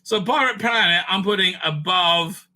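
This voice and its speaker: A man hosting a casual, off-the-cuff ranking commentary, animated and thinking aloud with frequent asides. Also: high voice, normal speed. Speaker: host ranking TV serials.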